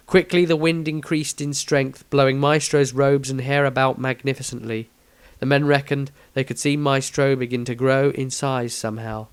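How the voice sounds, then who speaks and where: A man's voice: medium pitch, clean tone, no room sound. A man reading a scripted fantasy story aloud as narrator, with a dramatic storytelling manner. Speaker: author reading own story.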